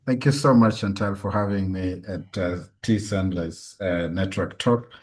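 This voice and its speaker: A man's voice: sits low, a little slow. A man with a Kenyan accent giving personal, explanatory answers as an interview guest. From western Kenya. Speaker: guest, physicist and university lecturer.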